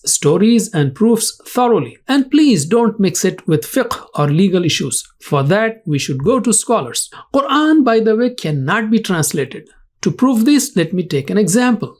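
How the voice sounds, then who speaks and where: A teacher narrates a recorded lesson in a mid-pitched voice. The delivery is slow and word-by-word, with strong emphatic stresses on key terms. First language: Urdu. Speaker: religious language teacher.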